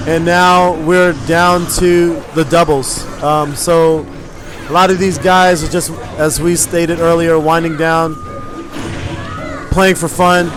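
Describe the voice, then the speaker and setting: High-pitched American male, casual esports commentator, informal banter, music behind. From United States. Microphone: event commentary mic.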